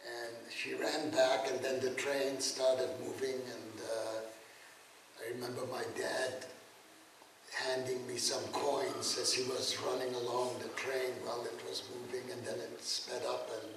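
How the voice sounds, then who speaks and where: An elderly man in his eighties, from Czechoslovakia and a native German speaker, speaks slowly and haltingly, stopping often to restart. His voice rings in a very echoey room, heard from a distance, with faint noise underneath. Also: mid-range voice, flat delivery, fairly bright tone. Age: 80s. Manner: halting, many fillers and restarts. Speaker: guest speaker, Holocaust survivor. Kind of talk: spoken testimony lecture. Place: lecture room. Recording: very echoey room, mic far off.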